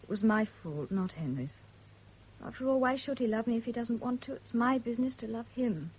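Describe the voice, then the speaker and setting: An actress playing a devoted, anxious young wife in a radio drama, earnest and apologetic. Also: female voice, on the high side, a little fast, darkish tone, husky. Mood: remorseful, anxious. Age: young adult. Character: anxious, devoted young pregnant wife, self-blaming and emotional. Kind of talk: period radio drama acting. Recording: dull, noisy old radio drama recording.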